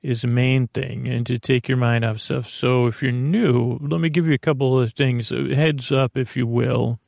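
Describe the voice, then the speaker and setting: Creaky-voiced man rambling softly through bedtime podcast. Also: mid-range voice, fairly even intonation, no echo, studio quality. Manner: meandering, halting, repeats himself, drifts off topic. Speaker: podcast host.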